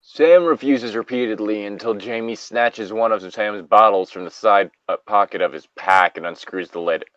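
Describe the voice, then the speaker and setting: A man's voice: on the low side, moderate pace, hardly any pauses, dry recording, lo-fi sound. A man hosting a casual story reading, breaking off into sweary, exasperated commentary. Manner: sweary, animated, exasperated asides. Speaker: host reading an internet story aloud.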